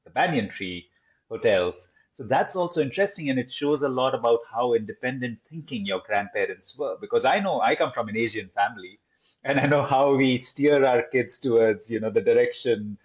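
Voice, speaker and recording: A man's voice: medium pitch, lively intonation, normal speed. A man hosting a podcast, asking long, winding interview questions. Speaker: podcast host. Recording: dull lo-fi audio.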